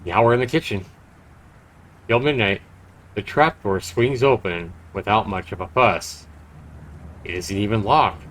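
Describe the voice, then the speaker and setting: A man's voice: fairly low pitch, a little slow, long pauses, fairly bright tone, slight background noise, low-quality recording. A man livestreaming a horror visual novel, reading it aloud dramatically and chatting casually about it. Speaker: livestreamer playing and narrating a game.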